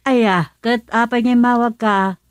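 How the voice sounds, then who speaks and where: A woman's voice: on the high side, steady tone, measured pace, clean tone, no echo, natural voice. An actress playing a practical, agreeable middle-aged village mother in a rural radio comedy drama. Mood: curious, agreeable.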